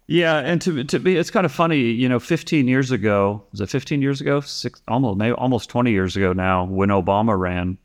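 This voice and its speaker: A man's voice: mid-range voice, a little fast, few pauses. A man in his forties, a podcast guest, talking casually and rambling with frequent restarts and fillers. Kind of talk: casual conversation.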